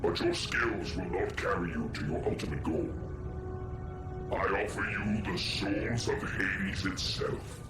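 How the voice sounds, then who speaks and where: A man's voice: low-pitched, steady tone, measured pace. A man playing an imperious god of the underworld in a video game, stately and pompous in manner. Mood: imperious, grave. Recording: echoing, distant voice over loud music.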